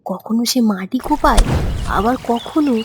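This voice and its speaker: A woman's voice: on the high side, lively intonation, normal speed, background noise. A woman giving scripted narration of a fairy tale as storyteller. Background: background music.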